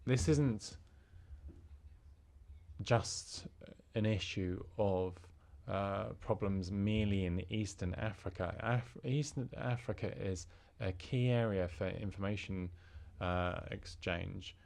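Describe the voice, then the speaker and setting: A man interpreting a formal speech into English, halting, with fillers and restarts. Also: lowish voice, creaky, darkish tone.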